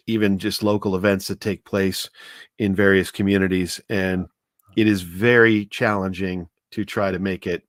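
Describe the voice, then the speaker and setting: Male guest commentator, fairly low pitch, earnest and careful explaining, halting phrasing, dry recording. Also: no room sound. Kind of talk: conversational discussion.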